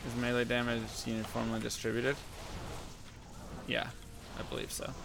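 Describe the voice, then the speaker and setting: A man with a deep voice casually talking to chat and muttering to himself while playing a game on a live stream. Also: monotone, normal speed, creaky, background noise. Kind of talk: casual gameplay commentary.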